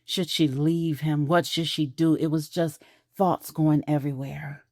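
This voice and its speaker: Low voiced lady reading a drama, calm and close, dry sound. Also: a little slow, no room sound. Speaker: narrator. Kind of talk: scripted audio drama narration.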